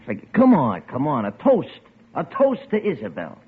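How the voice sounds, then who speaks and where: An actor playing a smooth, sinister crook who keeps coaxing the other man in an oily voice. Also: mid-range voice, huge pitch swings, moderate pace, full character voice. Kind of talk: 1940s radio acting. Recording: tinny old radio broadcast with music.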